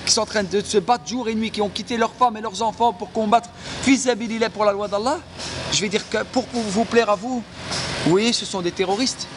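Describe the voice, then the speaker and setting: Male preacher, archive clip, defiant and repetitive, high pitch, noisy street. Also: fairly quick. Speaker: preacher in a played video. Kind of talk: fiery preaching speech. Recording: outdoor clip with street noise.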